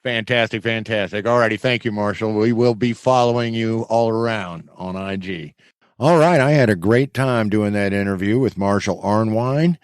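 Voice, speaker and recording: Male podcast host, lowish pitch, dry close recording, informal conversational delivery. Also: normal speed, clear, resonant tone, no room sound. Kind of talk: casual chat.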